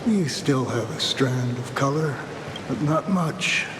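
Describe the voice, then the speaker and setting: Grave mysterious game guy, slow measured foreboding, echoey, rainy noise. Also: medium pitch, expressive. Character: grave, cryptic man speaking of fate and shadow. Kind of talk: dramatic game cutscene acting. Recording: echoey hall, rain and thunder noise.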